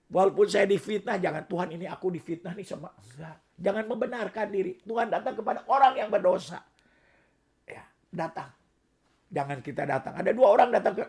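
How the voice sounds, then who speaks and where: A middle-aged male pastor preaches in a hoarse, worn-out voice that sits high for a man. His gravelly tone rasps through soft asides and then rises into loud, emphatic declarations. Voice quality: hoarse, raspy voice. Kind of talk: sermon.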